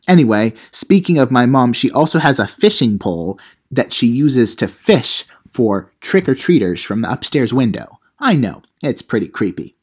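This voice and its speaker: A man's voice: mid-range voice, sing-song, moderate pace, husky. A man playing a cheeky teenage vlogger narrator. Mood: smug, instructive. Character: cheeky self-important teenage vlogger narrator, mock-instructional. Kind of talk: comedic how-to vlog monologue.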